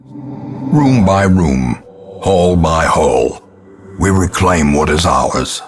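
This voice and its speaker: Deep, husky, brooding old ancestor. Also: male voice, animated, full character voice. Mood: grave resolve. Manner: grave, ornate, deliberate, portentous. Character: grim, brooding old male ancestor narrating doom. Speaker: game narrator. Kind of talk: theatrical gothic scripted narration.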